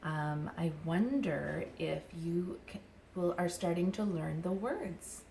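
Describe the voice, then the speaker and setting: A woman who teaches young children, chatting and reading aloud gently in simple, unhurried phrases with little explanations. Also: on the low side, vocal fry, slight background noise. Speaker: childcare educator leading story and song time for toddlers.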